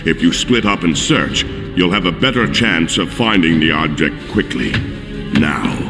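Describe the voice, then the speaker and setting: A man voicing a scheming, pompous alien villain in a video game, with slow, deliberate, formal phrasing. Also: low voice, over music. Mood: formal, guarded. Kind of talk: video game cutscene acting.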